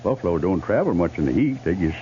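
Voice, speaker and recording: An actor playing a plainspoken, seasoned army scout with folksy, laconic frontier talk in a 1950s radio western. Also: male voice, low-pitched, animated, fast, dark tone. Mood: uneasy, thoughtful. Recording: muffled, noisy 1950s radio recording.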